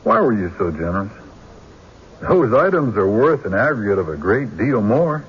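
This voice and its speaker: An actor playing a shrewd country defense lawyer in a radio drama, deliberate and rhetorical, pressing pointed questions. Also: male voice, lowish voice, big pitch swings, breathy edge, full character voice. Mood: pointed questioning. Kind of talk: old-time radio drama acting. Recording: dull, noisy radio drama recording.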